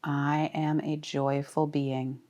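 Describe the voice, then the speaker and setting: A woman leading a guided relaxation as a yoga teacher, speaking calmly and soothingly with deliberate pauses. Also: low-pitched. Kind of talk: guided meditation.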